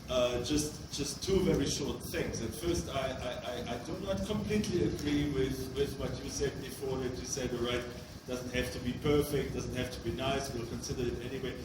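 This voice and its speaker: A man's voice: medium pitch, normal speed, background noise. A man in the audience objecting during a conference question, stammering through restarts. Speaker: audience member. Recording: very echoey room from far off.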